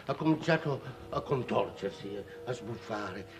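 An actor playing a garrulous, fussy dinner guest in a 1950s Italian period drama, telling tales theatrically and haltingly. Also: male voice, lowish voice, animated, over music. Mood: amused storytelling. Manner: halting, theatrical storytelling with mimicry.

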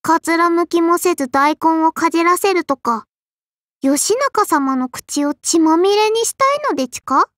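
A woman's voice: very high-pitched, measured pace, a bit bright, no echo, clean studio sound. A woman voicing a small, strict and prim sparrow-spirit girl in an anime game, with a childlike lisp and polite but scolding speech. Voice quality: childlike, lisping. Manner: polite but scolding, quirky verbal tic. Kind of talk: anime game character voice lines.

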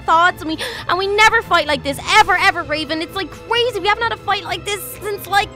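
Woman as a hot-headed young twin, very high voice, bright and sharp, gushing, emotional roleplay. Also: fast talker, faint music behind. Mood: frazzled. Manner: rambling, breathless, gushing.

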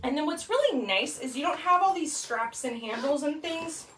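A woman's voice: sits high, lively intonation, moderate pace, fairly bright tone, recorded from a distance, slight background noise. A woman in her thirties chattily demonstrating bags in a product vlog, with frequent ums. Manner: chatty, upbeat, lots of ums. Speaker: bag consultant. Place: small room indoors. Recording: echoey room, mic set back.

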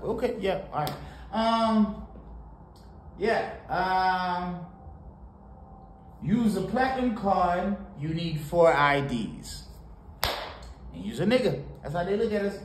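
A man from Haiti giving casual, animated reaction commentary on a song in a small home studio, talking to the camera. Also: mid-range voice. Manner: animated, preachy, sprinkled with laughs and "you know". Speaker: music reaction host. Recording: roomy home studio with faint noise.

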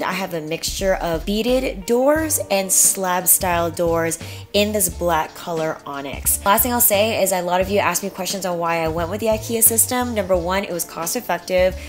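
Chatty young woman vlogger, soft music. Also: on the low side. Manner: upbeat, chatty, addresses viewers as "you guys". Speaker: home and lifestyle vlogger. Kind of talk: casual home tour with sponsored segment. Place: home kitchen, indoors.

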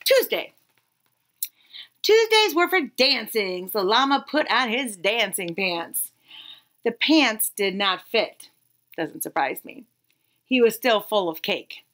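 A woman in her thirties reading a children's picture book aloud expressively, with dramatic pauses and emphasis. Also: on the high side, sing-song, fairly bright tone. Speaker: read-aloud storyteller.

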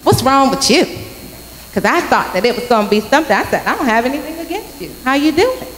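A young woman giving a youth message at church, telling a story with lively acted-out voices into a handheld mic. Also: high-pitched, fast talker. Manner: animated storytelling, acting out quoted voices. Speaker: youth speaker. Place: large church sanctuary with congregation. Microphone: handheld microphone. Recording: echoey sanctuary from a far camera.

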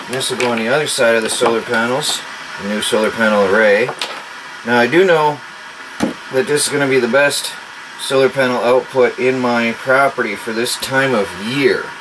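A man's voice: medium pitch, steady tone, moderate pace. A man in his forties giving a casual hands-on DIY vlog demonstration, talking half to himself in short phrases as he works. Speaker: DIY homestead vlogger. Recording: noisy amateur camera sound with clicks.

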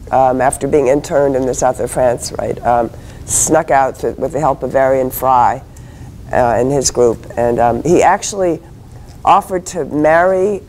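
Woman panelist, halting, many ums, dark mellow tone, faint room hiss. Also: very deep voice, rough recording. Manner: halting, many fillers and restarts. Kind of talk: academic panel answer. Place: hotel conference room.

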